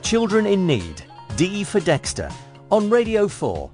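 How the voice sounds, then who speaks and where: Highly animated male trailer voice. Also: measured pace, faint music behind. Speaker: radio trailer announcer. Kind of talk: promotional scripted read.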